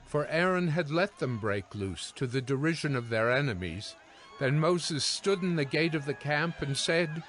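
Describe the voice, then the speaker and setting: A man's voice: on the high side, animated, normal speed, a bit bright. A man narrating a dramatized scripture audio production from a script. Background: music.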